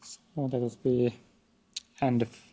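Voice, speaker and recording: A man's voice: mid-range voice, little pitch movement, a little slow, warm tone. A young man from South London on a quiz team, offering tentative answers with some hesitation. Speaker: student contestant. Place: quiz studio.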